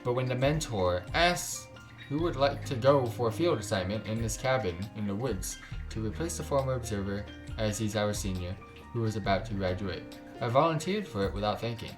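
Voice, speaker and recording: A man's voice: on the low side, expressive, normal speed. Slangy male livestreamer over music. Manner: slangy, joking, profane. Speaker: live streamer. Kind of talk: casual livestream chat with stumbling read-aloud of game text.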